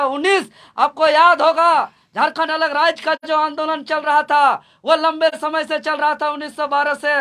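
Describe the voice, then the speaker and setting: High-pitched young Jharkhand man, emphatic and bright. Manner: emphatic, repetitive, rallying. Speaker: student movement leader. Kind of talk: impassioned political statement to media.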